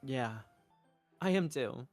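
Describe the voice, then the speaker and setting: A man's voice: medium pitch, lively intonation, takes it slowly, darkish tone. A man streaming a visual novel playthrough, narrating and reading the player character's part. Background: music.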